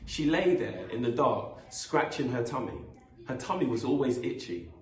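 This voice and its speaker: A man reading a children's story aloud in an expressive, deliberate storytelling manner. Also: medium pitch. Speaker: school vice principal reading a children's story. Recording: echoey room.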